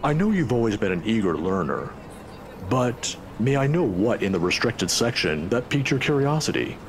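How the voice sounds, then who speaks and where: A man voicing a genial, inquisitive older university professor in a video game, polite and gently teasing. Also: mid-range voice, big pitch swings, moderate pace, background noise. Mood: calm, curious.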